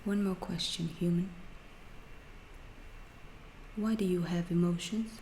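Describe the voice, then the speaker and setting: Woman as curious alien researcher, ASMR roleplay, lowish soft voice, breathy whispers, clinical, deliberate, roomy echo. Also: steady tone. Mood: calm, inquisitive. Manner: slow, deliberate, halting, clinical and detached. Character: calm, curious alien researcher questioning an abducted human. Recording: roomy echo, faint background noise.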